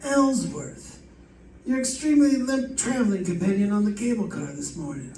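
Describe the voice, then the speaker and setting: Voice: medium pitch, animated, normal speed, dark tone, natural voice. An actor playing a dry, puzzled homicide lieutenant bantering in an old-time radio detective drama. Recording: echoey, distant mic, faint noise.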